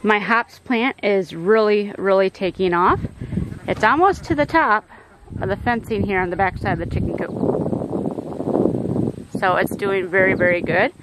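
A woman's voice: mid-range voice, background noise. Middle-aged woman chatting thru her garden outdoors on a phone cam, easygoing, lots of ums. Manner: relaxed, explanatory, frequent ums and uhs. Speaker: homestead gardener giving a garden tour. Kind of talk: casual garden tour narration. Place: outdoor backyard garden and greenhouse.

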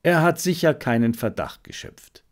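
A man's voice: fairly high pitch, animated, moderate pace, lightly acted. Eager, cunning teenage street boy, played by a man. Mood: brisk, proud. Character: cunning, eager fifteen-year-old Berlin street boy. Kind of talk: audiobook character reading.

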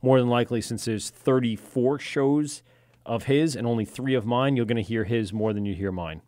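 A man's voice: mid-range voice, moderate pace, darkish tone, no room sound. A man hosting a casual podcast chat in an informal, joking, rambling way, close on a studio microphone. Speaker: podcast host. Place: small radio studio. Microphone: close studio microphone on boom arm.

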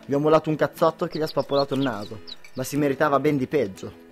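A man's voice: medium pitch, fast talker, close to an everyday voice. Young guy doing hot-headed lovestruck husband, boastful storyteller, radio play, bit of music behind. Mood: indignant, bitter. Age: young adult. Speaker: student actor. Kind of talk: amateur radio drama acting.

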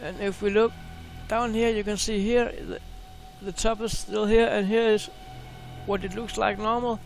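A man hosting a space video, talking casually and excitedly over a screen share, often repeating words. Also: high-pitched, normal speed, creaky, faint music behind.

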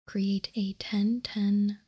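A woman whispering in a breathy, calming, measured way, as an ASMR host reading out and commenting on trading cards. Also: mid-range voice. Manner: soft, slow, measured, calming. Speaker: host of a card ASMR channel.

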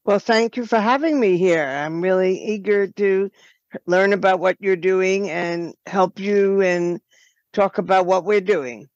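An older woman answers as an interview guest, reflective and halting. She speaks very slowly, pausing to find her words. The sound is dull and narrow, with almost no room echo. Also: mid-range voice, a little mellow. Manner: halting, pausing mid-phrase to choose words. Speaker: podcast guest, writer and producer.

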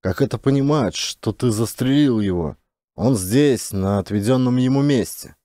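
Casting: male narrator as concerned, baffled young officer, sci-fi audiobook, worried questions, mellow timbre. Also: mid-range voice, moderate pace, no echo, clean studio sound, natural voice. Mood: bewildered. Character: anxious, puzzled, concerned male lieutenant.

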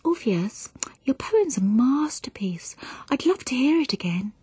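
A woman voicing a sly, wry dead wife, weary of her husband, in an emphatic, breathy poetry reading. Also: high voice, big pitch swings, measured pace, mellow, dry recording, pitched above the performer's own voice, exaggerated voice. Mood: breathy flattery.

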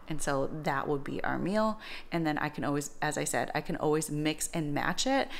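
A woman's voice: low voice, vocal fry. A woman in her thirties explaining home cooking in an informal, conversational vlog style. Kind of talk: casual vlog explanation to camera.

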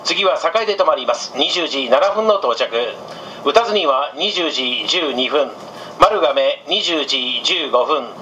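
A man's voice: a little high, expressive, a little slow, sharp tone, highs cut off. A man working as a train conductor, making polite, formulaic public announcements over the train's speakers. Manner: polite, formulaic, reciting station lists. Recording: train PA with clear background noise.

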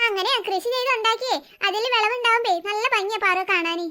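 A woman's voice: extremely high pitch, fast, bright, forceful tone. A woman voicing a caring, overworked mother in a family cartoon, fussing over and mildly scolding the children.

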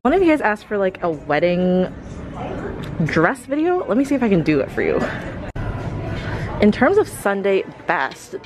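A woman's voice: medium pitch, big pitch swings, normal speed, pauses often, over music. A young woman in her twenties chatting casually through a clothing try-on vlog, rambling with fillers as she films on a handheld camera in a shop. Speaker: fashion vlogger. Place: clothing store, indoors.

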